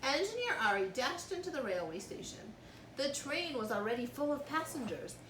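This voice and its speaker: A woman reading a storybook aloud to young children in an expressive, teacherly way, pausing to explain and ask questions. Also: sits high, far from the mic. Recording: roomy echo, clear background noise.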